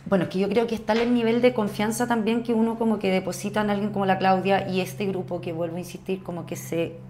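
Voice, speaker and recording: A woman's voice: medium pitch, steady tone, quick pace, darkish tone, a little hiss or hum. A woman with a Chilean accent, an actress talking casually as a podcast guest.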